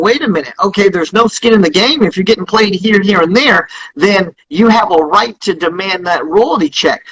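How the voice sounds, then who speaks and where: A man speaking as an interviewed company founder, explaining things in an emphatic, salesman-like way with a husky voice. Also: high-pitched, a bit bright, rough recording.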